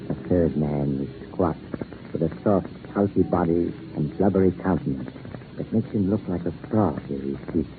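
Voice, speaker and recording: An actor playing an eerie doctor who tells strange horror tales, sinister and deliberate, as 1940s radio narration. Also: male voice, deep voice, natural voice. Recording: noisy narrow-band 1940s radio recording.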